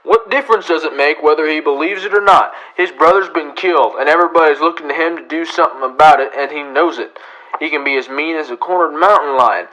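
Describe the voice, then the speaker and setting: Western radio marshal, male actor: stern, blunt, commanding, fairly high-pitched with a sharp, bright edge. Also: fast, dull audio. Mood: hard, exasperated. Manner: barking orders, blunt, forceful. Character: stern, commanding frontier town marshal, adult man. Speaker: actor in a student radio drama. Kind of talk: old-time radio western acting.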